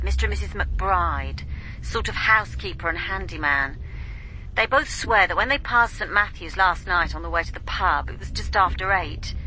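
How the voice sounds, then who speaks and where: Actress as British female detective, bright forceful voice, businesslike, deferential, faint radio-call noise. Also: mid-range voice, natural voice, rough recording. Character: efficient junior female police detective reporting in. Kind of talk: radio drama acting.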